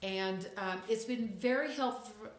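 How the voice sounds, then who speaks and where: A woman's voice: fairly high pitch, unhurried. Chatty Texan woman in an echoey room. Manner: chatty and reflective, with frequent ums and wry asides. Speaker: vlogger. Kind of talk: casual inspirational talk to camera.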